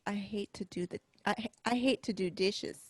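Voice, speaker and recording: A woman's voice: medium pitch, normal speed, dry recording, clean studio sound, lightly acted. A woman playing a sulky, needy girlfriend of a gangster in a radio drama.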